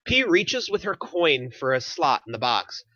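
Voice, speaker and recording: Male narrator, lively intonation, bright tone, reading stage directions, dull muffled recording. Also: a little high, a little slow, no echo. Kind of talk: scripted narration at a table read.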